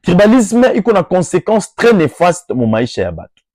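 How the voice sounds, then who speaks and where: A man's voice: high voice, huge pitch swings, moderate pace, a little mellow, dry recording, clean studio sound. Impassioned Congolese man giving a speech. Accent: Congolese French. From Democratic Republic of the Congo. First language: Lingala or Swahili. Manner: oratorical, rising emphatic peaks, switching between French and local languages. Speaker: NGO speaker. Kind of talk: rousing address to workers.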